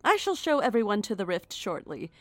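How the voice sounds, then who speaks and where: Actress as lively, condescending, commanding villainess. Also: female voice, mid-range voice, brisk, no echo. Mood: condescending, taunting. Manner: composed, condescending, formal. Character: coolly commanding, mocking woman who holds captives. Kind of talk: horror audio drama acting.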